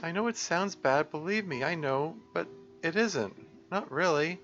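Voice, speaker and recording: A man voicing a gentle, anxious teenage girl, pleading and halting, with a hoarse voice. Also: on the high side, animated, muffled recording, faint music behind, voice pushed up. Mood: earnest.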